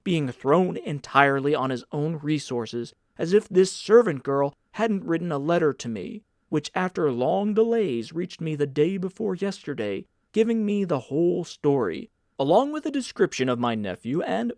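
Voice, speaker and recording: A man's voice: on the high side, no echo, studio quality, pitched above the performer's own voice. A man voicing a pompous older senator in an audiobook, speaking in an emphatic, declamatory, oratorical way. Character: pompous, theatrical senator uncle, older man, grandly indignant. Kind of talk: dramatic audiobook character reading.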